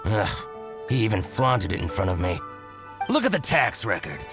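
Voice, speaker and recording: A scheming, vindictive merchant with a dramatic, gloating delivery. His pitch swings wildly between highs and lows. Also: sing-song, moderate pace. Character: scheming gloating merchant man, eager for revenge. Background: game music.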